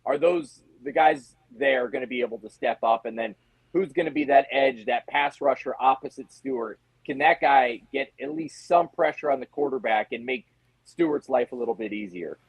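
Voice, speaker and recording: A man with a creaky, husky voice gives casual sports analysis as an interview guest. His pitch sits in the middle, and the sound is dry and close. He talks conversationally, tossing in rhetorical questions as he goes. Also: normal speed, dry recording, low-quality recording.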